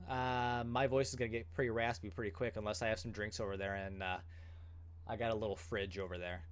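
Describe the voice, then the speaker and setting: A man in his thirties hosting a casual livestream from home, chatting with many fillers and an upbeat tone. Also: mid-range voice. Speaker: goalie channel host.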